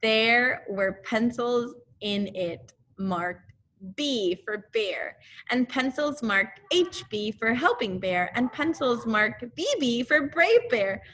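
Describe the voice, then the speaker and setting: A woman reading a children's storybook aloud as a teacher would, with deliberate, careful and expressive phrasing. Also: on the high side. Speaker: primary school teacher.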